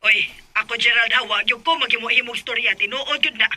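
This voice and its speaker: A woman's voice: a little high, steady tone, a little fast, pushed and bright, no room sound. An actress with a Cebuano Filipino accent playing a meddling, complaining mother-in-law in a radio drama. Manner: complaining, insistent. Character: nagging, meddling older mother-in-law stirring up trouble. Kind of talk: Bisaya radio drama acting.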